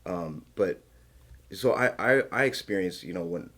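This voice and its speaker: A man's voice: deep voice, expressive, unhurried, a bit bright. An American man hosting a podcast, chatting casually and sharing his own stories as he interviews. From small-town rural United States. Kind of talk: casual chat, conversational interviewing.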